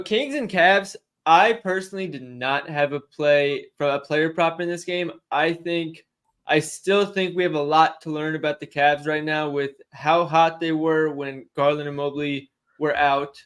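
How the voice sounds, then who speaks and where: A young man co-hosting a casual sports betting livestream, chatty and quick to laugh while he answers questions from the chat. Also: a little high, a bit bright, clear, resonant tone. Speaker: sports betting stream co-host.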